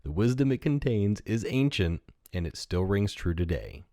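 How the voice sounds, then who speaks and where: Deep voiced guy telling a kids story, really dry close sound. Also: very animated, moderate pace, mellow, no echo. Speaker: storyteller, podcast host. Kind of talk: read-aloud children's story narration.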